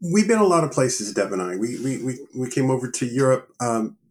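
Relaxed middle-aged American man. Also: medium pitch, brisk. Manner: relaxed, joking, frequent self-restarts. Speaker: guest, engineering leader. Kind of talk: casual interview talk.

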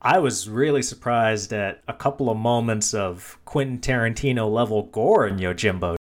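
A man's voice: fairly low pitch, normal speed. A man hosting a casual film discussion in a jokey, teasing manner. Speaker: podcast host.

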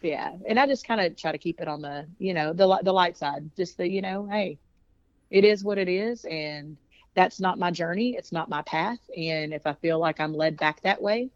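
A woman's voice: fairly low pitch, moderate pace, dry recording, dull audio. A woman, a singer chatting casually in an interview, rambling and laughing often. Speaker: singer and band leader.

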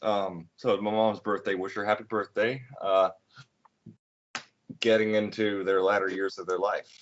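Rambling low-voiced man in his twenties. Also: level tone, measured pace. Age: 20s. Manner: rambling, many fillers and restarts. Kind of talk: casual chat. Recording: dull lo-fi sound.

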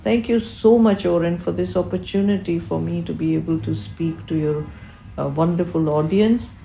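A woman's voice: fairly low pitch, fairly even intonation, moderate pace, vocal fry, warm tone, roomy sound, slight background noise, low-quality recording. An older woman, a native Urdu speaker, giving eloquent, reflective interview answers as a physician and researcher, phrased with deliberation.

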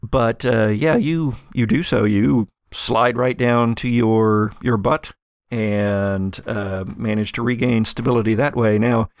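A man's voice: medium pitch, steady tone, slow, no echo, clean studio sound. A man working as game master, narrating an actual-play tabletop game in a conversational, improvised way.

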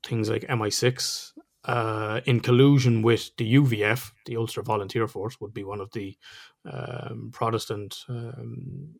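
A man's voice: mid-range voice, fairly even intonation, unhurried, gravelly. A man with an Irish accent, co-hosting a podcast in a casual, chatty way, laughing often and swearing now and then. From Ireland. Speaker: podcast co-host.

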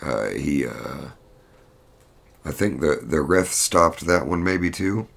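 A man's voice: extremely low pitch, slow pace, vocal fry, a bit bright. A man hosting a podcast, giving a casual fight recap in a blunt, rambling, profane manner. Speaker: podcast host.

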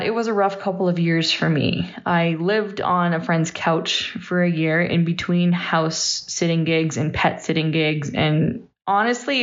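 A woman in her thirties giving a personal, reflective monologue as a podcast host, candid and emphatic, in short punchy sentences. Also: lowish voice. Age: 30s. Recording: dull, slightly lo-fi voice recording.

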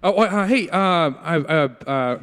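A man's voice: a little high, unhurried, a bit bright, a little hiss or hum. A man acting in a parody of old radio drama, as a messenger and announcer. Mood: flustered. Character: flustered apologetic messenger, also grandiose radio announcer. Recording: long hall-like reverb.

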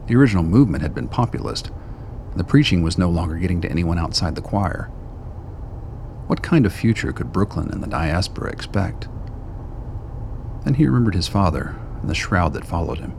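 A man narrates a radio drama in a very low voice with a lot of gravel and fry in it. The delivery is scripted storytelling prose, with some background noise behind him.

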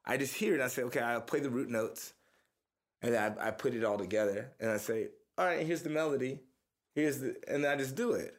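A man's voice: mid-range voice, fairly quick. A young man from the Houston area, talking casually and profanely as a musician being interviewed, rambling through stories. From Houston, Texas area. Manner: relaxed, profane, rambling storyteller. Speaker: musician guest.

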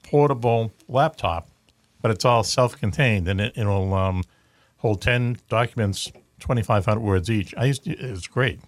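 A man with a Boston accent hosts a casual talk show. His voice sits on the low side, and the sound is dry and close. He rambles, with plenty of fillers and restarts. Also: unhurried, no echo. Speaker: radio show host.

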